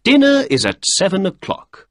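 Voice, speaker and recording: A man voicing a clever, brisk middle-aged male detective in an audiobook story. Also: sits high, huge pitch swings, a bit bright, no room sound, studio quality, pitched above the performer's own voice, exaggerated voice.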